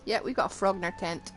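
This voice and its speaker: A woman livestreamer giving casual gameplay commentary in short, offhand reactions. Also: mid-range voice.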